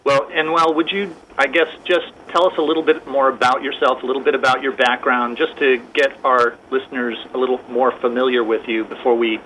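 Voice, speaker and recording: Male talk-radio host, bright and forceful, friendly questions, faint hiss. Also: mid-range voice, flat delivery, brisk, hardly any pauses, poor audio. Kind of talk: radio interview.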